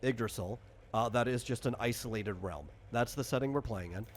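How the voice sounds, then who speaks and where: A man's voice: mid-range voice, a little fast. A man hosting and explaining a game in casual chat, thinking aloud in a rambling way. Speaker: game designer and host.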